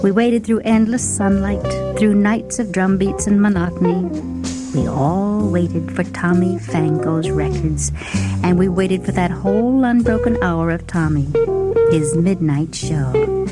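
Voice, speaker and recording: Prominent background music, woman acting as obese young female radio devotee, medium pitch, confiding, wistful, savoring, dramatic first-person story reading. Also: expressive, a little slow, lightly acted. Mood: dreamy, adoring. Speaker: story reader. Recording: music bed under the voice. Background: instrumental music with plucked guitar.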